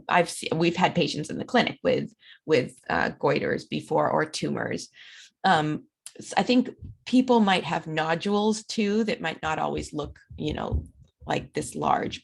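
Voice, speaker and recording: Raspy-voiced woman lecturing over slides. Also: on the low side. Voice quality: raspy, dry throat. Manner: conversational, many fillers. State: recovering from illness. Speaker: instructor.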